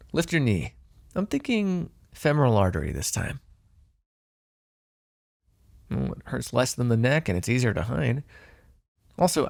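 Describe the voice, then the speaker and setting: A man's voice: medium pitch, creaky, darkish tone, no echo, clean studio sound. A man playing a smug, teasing vampire in an intimate ASMR roleplay, sardonic and flirtatious, possessive but fond. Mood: impatient, flirtatious. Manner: sardonic, flirtatious, swearing, sighing.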